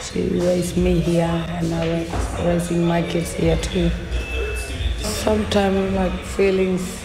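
A woman, a town camp resident, speaking slowly and haltingly in an interview. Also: lowish voice, flat delivery, roomy sound, crowd noise. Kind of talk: interview testimony.

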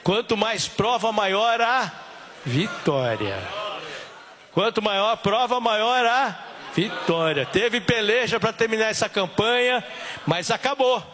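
A hoarse, high-pitched pastor preaching in a church hall. He repeats his phrases with fervor, calling out to the congregation and rising to shouts at the peaks. His worn voice strains but keeps pushing through. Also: animated, slow. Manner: fervent, repetitive call-and-response, shouting at peaks. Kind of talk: church service preaching and prayer.

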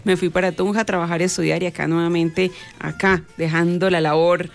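A woman's voice: lowish voice, steady tone, fairly quick, gravelly, soft background music. A woman with a Boyacá Colombian accent, an interview guest speaking for a shopping centre in encouraging, courteous phrases. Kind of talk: informal radio interview.

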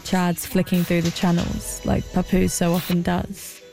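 A woman's voice: on the low side, completely flat delivery, measured pace, gravelly, mellow, soft background music, low-quality recording. A woman narrating her own documentary in a dry, wry, understated way.

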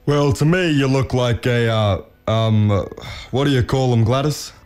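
Man as a gruff wallaby shopkeeper. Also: medium pitch, normal speed, darkish tone, faint music behind, natural voice. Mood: puzzled, blunt. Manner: blunt, fumbling for words. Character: gruff, puzzled older male wallaby shopkeeper.

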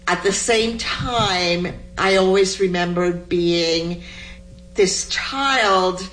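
A woman's voice: fairly low pitch, a bit bright, slight background noise, rough recording. A woman from Cuba, a guest poet, telling her story in a rambling, chatty radio interview and laughing often.

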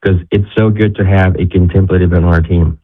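A man's voice: sits low, steady tone, brisk. A young man from Ecuador, a guest telling his story in casual talk with many restarts. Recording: tinny phone-like line.

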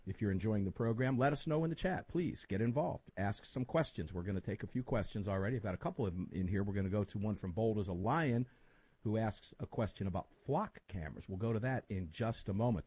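A man's voice: fairly low pitch, dark tone, breathy edge, no echo. A man with an American accent hosting a live talk radio show, conversational and speaking directly to the audience.

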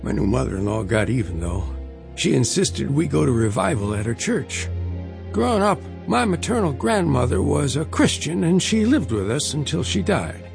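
A devout older father tells his life story as radio drama, with music underneath. His gravelly voice swings expressively as he reminisces with earnest feeling. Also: mid-range voice, sing-song, moderate pace. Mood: wry, reflective. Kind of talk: dramatized first-person narration.

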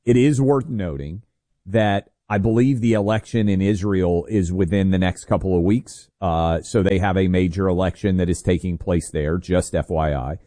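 A man's voice: sits low, steady tone, normal speed, dark tone, dry recording, clean studio sound. A man co-hosting a political talk radio show, making pointed critical remarks with frequent fillers.

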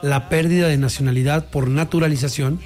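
A man's voice: on the high side, steady tone, a little fast, a little mellow. A man with a Mexican accent hosting a radio talk show, chatting casually about the news with co-hosts.

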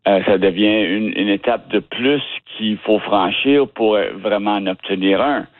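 A man's voice: lowish voice, unhurried, no room sound. Hesitant man on a dry phone line. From Ottawa region, Canada. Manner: hesitant, many fillers and restarts. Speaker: interviewee. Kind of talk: casual interview answers.

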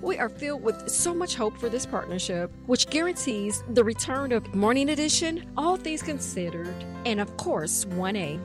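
A woman's voice: a little high, very animated, moderate pace, hardly any pauses, music in the background. A woman reading a scripted public radio station announcement in an earnest, reassuring way.

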